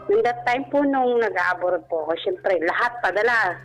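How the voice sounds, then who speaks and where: A woman's voice: mid-range voice, animated, normal speed, a bit bright. A Filipino woman speaking politely and deferentially as a radio caller, telling a personal story in a casual interview. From Philippines. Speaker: radio show caller, former overseas domestic worker. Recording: music bed behind voice. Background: background music.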